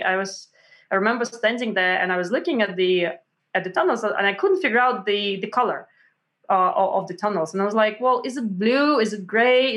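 Animated woman guest. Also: mid-range voice. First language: Russian. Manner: animated, many restarts and fillers, acts out quotes. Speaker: author guest. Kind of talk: conversational interview storytelling.

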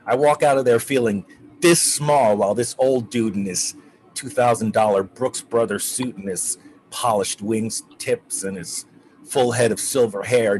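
Male, acting college kid around twenty, anxious and bitter, low-pitched, earnest stage-play delivery, faintly noisy recording. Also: darkish tone, close to an everyday voice.

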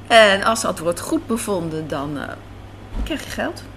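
A woman's voice: medium pitch, sing-song, measured pace. A woman giving conversational, reflective interview answers as a writer and director, in a café. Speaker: guest, radio drama writer and director. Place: indoors in a café. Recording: clear background noise of a café.

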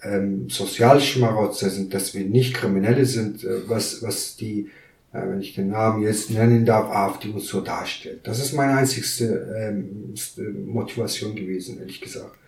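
Earnest man in his thirties, halting. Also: lowish voice, fairly even intonation, creaky, darkish tone. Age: 30s. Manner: earnest, halting with many fillers. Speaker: podcast guest, council member. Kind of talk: casual interview answers. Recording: roomy echo, mic at a distance.

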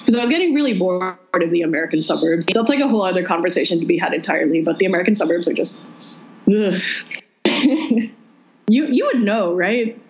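A young woman from the Philippines, telling her own story in a relaxed, chatty way with many "like"s. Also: brisk, a little hiss or hum. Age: young adult. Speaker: interviewee. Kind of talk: casual chat. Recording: muffled low-fidelity audio.

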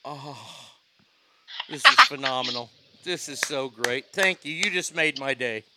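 A man hosting a casual talk show, ranting in an animated, profane way. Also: mid-range voice, a little slow, heavy background noise. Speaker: host.

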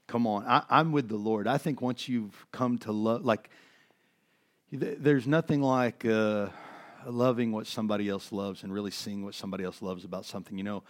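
A man's voice: on the low side, fairly even intonation, normal speed. A middle-aged man preaching in a conversational, earnest way, his voice worn from overuse, with many fillers and restarts. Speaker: pastor. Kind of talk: sermon.

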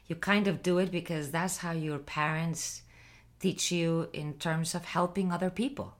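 A woman's voice: lowish voice, fairly even intonation, measured pace. A woman hosting her own interview show, asking questions in an encouraging way. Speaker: talk show host.

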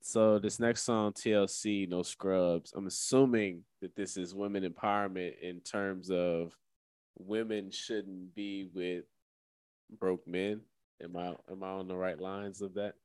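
Low-voiced man co-hosting a music show. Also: steady tone, measured pace, a little mellow, clear, resonant tone, no echo. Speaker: radio show co-host. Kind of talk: casual music discussion.

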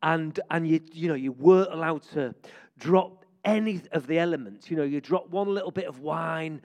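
A man's voice: fairly high pitch, moderate pace. A man, a vicar, telling funny stories in an interview in church. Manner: jokey, animated storyteller. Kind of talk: church interview, comic storytelling.

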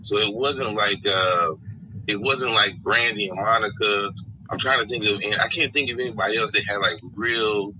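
A man from Atlanta with an Atlanta Southern accent, a podcast guest talking in a rambling, animated way and laughing often. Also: lowish voice, moderate pace. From Atlanta, Georgia. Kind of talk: casual storytelling chat. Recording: phone call with faint background noise.

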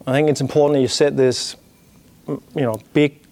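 A man's voice: mid-range voice, fairly even intonation, moderate pace, warm tone. Danish-accented man musing aloud on business. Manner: thinking aloud, with frequent restarts and "you know" fillers. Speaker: business leader giving a talk. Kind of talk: reflective business talk.

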